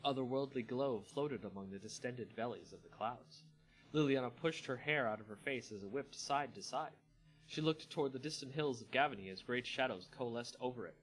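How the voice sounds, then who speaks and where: A man's voice: medium pitch, expressive, moderate pace, fairly bright tone, rough recording. Man reading and hosting over music. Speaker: channel host and story reader. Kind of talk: livestream dramatic story reading with casual chat. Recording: music playing behind the voice. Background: background music.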